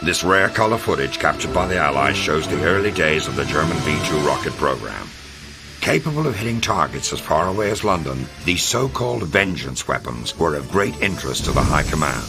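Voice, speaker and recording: A man playing a grave, authoritative military officer and documentary narrator in a game, speaking in a measured, scripted style. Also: a bass voice, big pitch swings, full character voice. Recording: music bed under the voice. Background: loud dramatic music.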